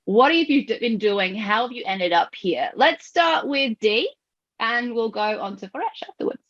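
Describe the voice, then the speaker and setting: A bubbly, effusive woman hosts an interview over a video call. Her voice sits a little high. The audio is muffled and lo-fi. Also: expressive, normal speed. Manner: bubbly and effusive, laughs often. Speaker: podcast host.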